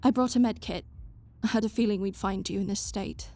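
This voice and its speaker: A woman's voice: mid-range voice, fairly even intonation, a little fast, mellow, lightly acted. A woman voicing a stern, bossy but caring young woman who scolds someone she cares about. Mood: scolding. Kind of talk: fan audio roleplay.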